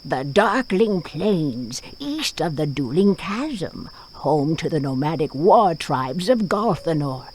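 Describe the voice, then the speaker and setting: A fantasy game narrator delivers scripted lore at a slow, deliberate pace. The voice sits at a middling pitch and tells it with drama. Noticeable noise and ambient game sound run behind the narration. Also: big pitch swings.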